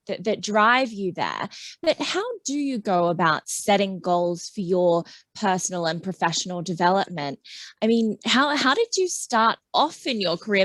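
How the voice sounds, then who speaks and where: A woman's voice: mid-range voice, fairly bright tone, no echo. A woman hosting a live webcast interview, affirming her guest and asking follow-up questions. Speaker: webcast host. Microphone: video call.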